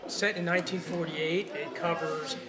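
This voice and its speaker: A man's voice: on the high side, steady tone, moderate pace. A man giving conversational interview answers as a guest, speaking as a theater director. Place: public venue on location, crowd behind. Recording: loud crowd chatter on location.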